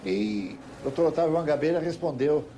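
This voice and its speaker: An older man with a Brazilian accent, a veteran television interviewer, recounting a story in an emphatic, deliberate way. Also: fairly high pitch, expressive, warm tone. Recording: faint noise, old TV broadcast tape.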